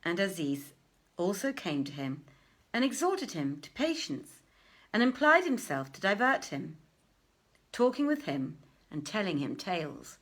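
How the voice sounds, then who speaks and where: A woman reading an old literary tale aloud as narrator, in a measured, expressive, declaiming manner. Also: mid-range voice. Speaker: narrator, reader. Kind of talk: dramatic literary storytelling in archaic English.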